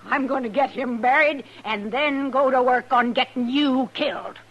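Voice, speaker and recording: An actress playing a hard, defiant, vengeful frontier widow in a 1950s radio western, with blunt, accusing, folksy rural speech. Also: female voice, sits high, moderate pace, fairly bright tone, dull audio. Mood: bitter, threatening.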